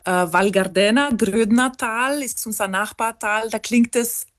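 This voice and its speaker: A woman from South Tyrol whose first language is Ladin, talking as a guest in an interview. She explains things at length, with many pauses and fillers. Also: a little high. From South Tyrol, Italy. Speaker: musician guest. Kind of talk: casual interview.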